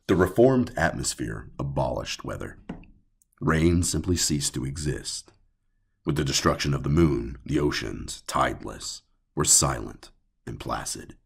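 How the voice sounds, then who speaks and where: A man with a gravelly, husky voice narrating a scripted horror story dramatically, in short broken phrases. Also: a bass voice. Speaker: audiobook narrator.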